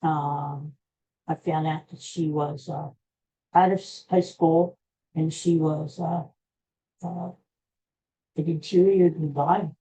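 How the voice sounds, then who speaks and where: An older man with an American accent tells personal stories casually, in a halting, rambling, self-deprecating way. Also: a little high, flat delivery, mellow. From Pennsylvania, USA. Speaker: podcast host. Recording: dull, muffled lo-fi audio.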